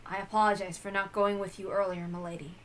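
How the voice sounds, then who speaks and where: A woman voicing a shy, earnest, sullen teenage servant boy in a quiet, hesitant manner for a story reading. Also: medium pitch, level tone, fast talker, pitched below the performer's own voice, lightly acted. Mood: somber, confessional.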